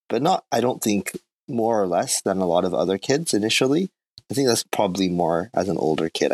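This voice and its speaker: A man's voice: low-pitched, no echo. A man being interviewed about his art, who grew up in Japan, talking thoughtfully and modestly with small laughs. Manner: soft-spoken, thoughtful, self-deprecating with small laughs. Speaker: artist guest. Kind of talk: casual interview conversation.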